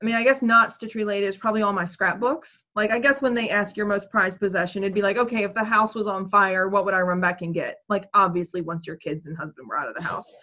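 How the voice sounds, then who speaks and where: A woman's voice: mid-range voice, fast talker, few pauses, pushed and bright. A woman in her thirties, co-host of a crafting chat, rambling casually with lots of fillers over a video call from home. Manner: rambling, hesitant, filler-heavy. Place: home room. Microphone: webcam on video call. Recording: dull lo-fi call audio.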